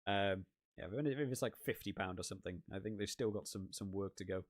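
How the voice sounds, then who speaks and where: A man from the UK talks casually on a home live stream, reading and answering chat. He rambles and restarts his sentences often. His voice sounds worn and a little hoarse after a long session. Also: fairly low pitch, fairly quick. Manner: rambling, chatty, many restarts. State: voice wearing out, hoarse. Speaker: rugby gaming channel host.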